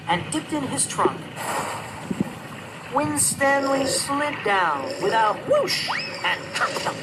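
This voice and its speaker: A storyteller narrating a rhyming children's story in an expressive, measured storybook delivery. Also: medium pitch, poor audio. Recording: loud music under the voice. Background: music.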